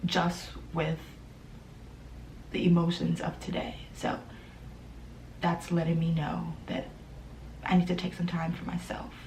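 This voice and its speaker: A young woman vlogging in a soft, hesitant voice, grieving and close to tears, often dropping to a whisper between fillers. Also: on the low side, monotone, a little hiss or hum, rough recording. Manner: fillers and trailing pauses. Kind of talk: emotional personal vlog.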